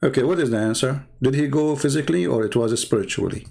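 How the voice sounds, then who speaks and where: A man's voice: medium pitch, quick pace, gravelly, a crowd in the background. A man whose first language is Arabic, hosting a live stream and mocking the clips he plays with sarcastic questions. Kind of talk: casual commentary on played clips.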